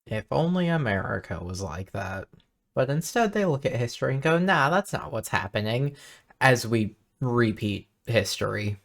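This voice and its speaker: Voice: medium pitch, big pitch swings, normal speed, vocal fry. A streamer giving casual, off-the-cuff commentary while playing a video game.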